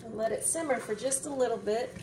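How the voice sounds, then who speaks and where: A young woman casually narrating a home cooking video in a relaxed, chatty way, with fillers. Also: fairly high pitch, brisk. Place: home kitchen. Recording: distant mic, noticeable room noise.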